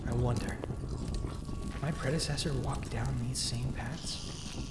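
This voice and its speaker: A man's voice: mid-range voice, very slow, very noisy. A man playing a young, anxious but determined adventuring hero in a fantasy audio drama, muttering to himself and exclaiming. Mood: awed, uneasy. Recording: roomy echo, loud musical score behind. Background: orchestral fantasy game-style music.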